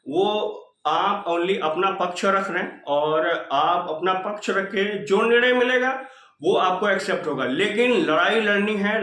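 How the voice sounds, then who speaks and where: Male channel host, high voice, earnest and repetitive rallying talk, roomy echoing recording. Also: sharp tone. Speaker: channel host addressing exam candidates. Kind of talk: informal motivational update talk.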